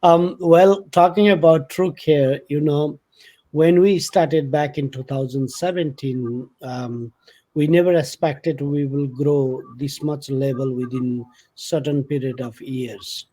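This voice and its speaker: A man talking casually on a podcast about his company, speaking in halting with many fillers and restarts. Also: fairly high pitch, steady tone, warm tone, poor audio. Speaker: company vice president. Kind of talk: casual conversational podcast talk.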